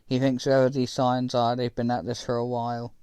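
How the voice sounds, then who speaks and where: A man's voice: mid-range voice, a little fast, clear, resonant tone, no room sound, clean studio sound, natural voice. A man voicing a composed game narrator who relays military reports in a matter-of-fact way. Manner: even, matter-of-fact recounting.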